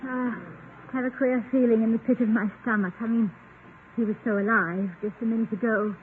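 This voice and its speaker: An actress playing a tender-hearted, playful professor's wife in 1950s radio comedy, coaxing and gently persistent. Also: female voice, fairly high pitch, steady tone, fairly quick, natural voice. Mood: worried. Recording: tinny, noisy 1950 radio recording.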